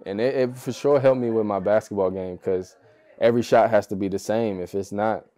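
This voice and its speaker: A man's voice: fairly low pitch, fairly even intonation, fairly quick, warm tone. A young American man, a basketball player guesting on a podcast, chatting in an easygoing way with lots of fillers and laughter. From United States. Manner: laid-back, easygoing, laughs often, loose phrasing with many fillers. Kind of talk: relaxed casual interview chat.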